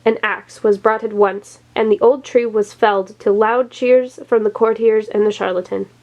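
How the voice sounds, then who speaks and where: A woman's voice: mid-range voice, fairly even intonation, moderate pace, a little mellow, dull audio. A woman storyteller reading a children's tale aloud.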